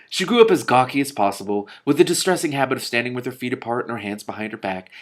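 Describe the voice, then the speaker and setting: A man hosting a read-aloud, giving an expressive, emphatic storybook reading with casual asides. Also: mid-range voice. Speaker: host reading a book aloud with commentary.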